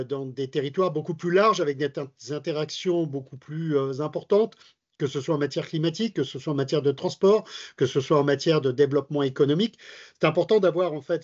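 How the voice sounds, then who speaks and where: A man giving a formal, didactic explanatory talk, speaking haltingly with very frequent hesitations and filler phrases. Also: on the high side, a little mellow, lo-fi sound. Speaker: presenter of advice capsules for local election candidates. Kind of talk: semi-improvised explanatory talk to camera.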